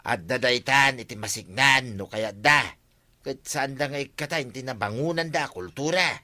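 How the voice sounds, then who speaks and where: Sharp-voiced, food-greedy village man. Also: medium pitch, moderate pace. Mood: greedy, chatty. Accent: Ilocano. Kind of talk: Ilocano radio comedy drama acting. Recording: music under the dialogue.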